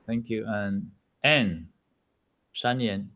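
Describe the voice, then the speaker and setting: A man's voice: on the low side, very slow, bright, forceful tone. A man hosting a meditation center meeting, calling on people in turn to introduce themselves. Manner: friendly, laughs often, calls on each speaker. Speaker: meeting host. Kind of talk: moderating introductions.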